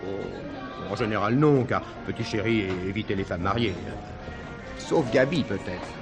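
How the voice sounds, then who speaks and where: A man's voice: on the low side, expressive, unhurried, music in the background. An actor playing a chatty, theatrical backstage man in a French stage comedy-mystery, exclaiming and teasing as he evades questions. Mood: evasive, confiding.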